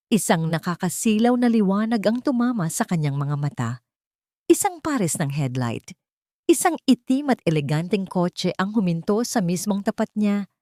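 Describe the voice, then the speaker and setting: A woman's voice: fairly low pitch, darkish tone, dry recording, clean studio sound. A woman reading a dramatic scripted story as its narrator.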